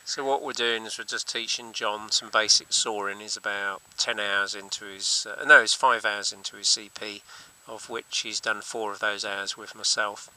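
A man's voice: lowish voice, fairly even intonation, moderate pace, pushed and bright, low-quality recording. A man teaching paragliding, commentating from a hilltop and in the air while coaching a student. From England. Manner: coaching over radio, calling out instructions. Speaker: paragliding instructor. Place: outdoors on a hilltop and in flight.

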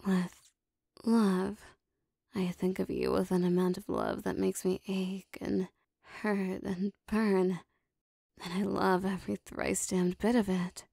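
Woman acting as a slow, creaky-voiced devoted demoness. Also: mid-range voice, level tone, dry recording, clean studio sound, exaggerated voice. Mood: yearning devotion. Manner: soft, breathy, formal archaic phrasing, lingering pauses. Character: lovestruck possessive female demon bound to a sorcerer. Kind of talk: intimate roleplay ASMR audio drama.

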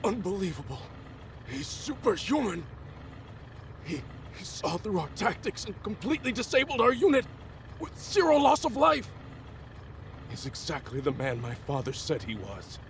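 Awed soldier for a game: humbled, earnest, expressive, with clear engine noise underneath. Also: male voice, high voice, moderate pace, pauses often, full character voice. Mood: awed, defeated. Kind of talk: English video game cutscene acting. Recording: loud vehicle and battle noise behind.

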